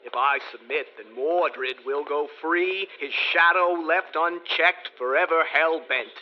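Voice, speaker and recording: A man playing a troubled king charged with treason, speaking in a measured, earnest way in verse for a radio drama. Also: a little high, close to an everyday voice. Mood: brooding. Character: troubled modern king on trial. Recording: dull lo-fi audio with faint hiss.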